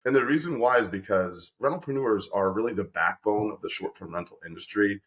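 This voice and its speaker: A man hosting an interview podcast, energetic and effusive, often restarting his sentences. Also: lowish voice, a little fast. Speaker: podcast host and company representative.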